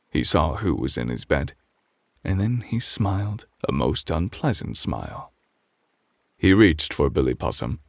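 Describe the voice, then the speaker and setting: A man playing a calm, refined gentleman who reads a bedtime story as gentle, measured ASMR close to the microphone. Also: deep voice, vocal fry, no echo, full character voice.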